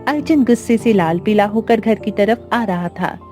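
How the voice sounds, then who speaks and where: Female story narrator for a village moral cartoon, mellow tone, music underneath. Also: medium pitch, animated, moderate pace. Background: background music.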